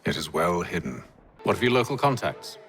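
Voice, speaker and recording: An actor with a British accent playing a composed, commanding gentleman leader in a video game, formal and measured. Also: deep voice, very animated, a little hiss or hum. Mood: businesslike. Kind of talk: cinematic video game acting.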